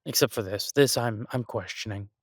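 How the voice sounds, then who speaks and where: A man's voice: fairly low pitch, slightly rough, no echo. Man playing an exasperated chef boyfriend, close dry sound. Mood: resigned. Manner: grumbling, halting, with exasperated sighs. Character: exasperated restaurant chef, affectionate boyfriend venting to partner. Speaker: audio roleplay performer. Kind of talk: boyfriend audio roleplay, conversational monologue.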